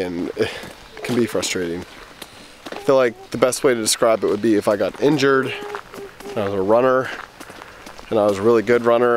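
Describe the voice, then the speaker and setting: A man in his thirties from Texas, chatting casually to a handheld camera outdoors on a farm, relaxed and reflective. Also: on the low side, slight background noise. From Texas, USA. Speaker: vlogger. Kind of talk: casual vlog talk to camera. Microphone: camera held at arm's length.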